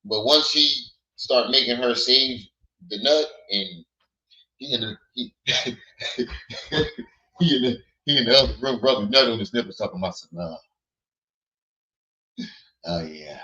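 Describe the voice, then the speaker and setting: A hoarse man hosting a casual livestream, blunt and quick to laugh while greeting his chat. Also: mid-range voice, lively intonation, pushed and bright, poor audio. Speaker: livestream host.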